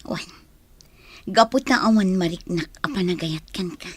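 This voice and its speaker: An actress with an Ilocano accent and husky voice playing a frank young woman in a radio drama. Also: lowish voice, normal speed. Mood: firm, frank. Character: frank, firm young woman rejecting an unwanted suitor.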